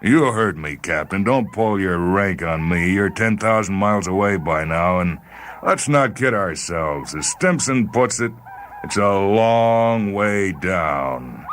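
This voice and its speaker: A man's voice: deep voice, lively intonation, takes it slowly, fairly bright tone, slight background noise, voice pushed up, full character voice. A man voicing a bitter, mutinous spaceman who sneers and goads in a dramatized story reading. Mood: bitter defiance. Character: bitter, taunting, mutinous male spaceman on radio.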